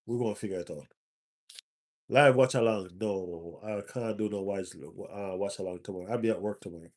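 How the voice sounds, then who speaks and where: A man's voice: fairly low pitch, a little fast, a little mellow. A man with a stuffy nose hosting a casual live stream as a football fan, repeating his phrases for emphasis.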